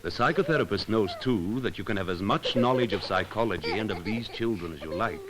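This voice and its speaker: Low-voiced man narrating a vintage documentary. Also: animated, very noisy. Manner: measured, poetic, solemn phrasing with deliberate pauses. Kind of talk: scripted educational film narration.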